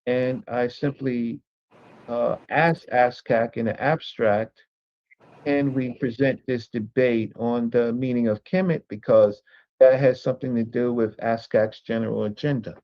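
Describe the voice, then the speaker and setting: A man's voice: medium pitch, steady tone, unhurried. A man in a heated podcast debate, joining by video call, insulting and talking over the others while repeating himself. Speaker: podcast guest. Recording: dull lo-fi call audio.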